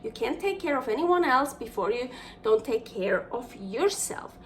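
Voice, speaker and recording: A woman's voice: sits high, sing-song, measured pace, distant mic, a little hiss or hum. A young woman from Switzerland with a Swiss accent, hosting a casual cooking vlog in her home kitchen, chatting in a rambling way with many fillers and restarts. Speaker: cooking show host.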